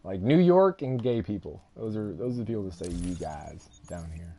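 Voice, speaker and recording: A man's voice: fairly low pitch, animated, moderate pace, mellow. A young man with a Texan Southern accent, chatting casually and rambling while he streams a game, laughing often. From Texas. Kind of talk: casual live-stream chat while gaming.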